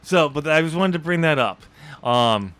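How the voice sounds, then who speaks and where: A man co-hosting a podcast in casual unscripted chat, talking haltingly and often correcting himself. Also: a little high, lively intonation, a bit bright. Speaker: podcast co-host, author discussing own novel draft.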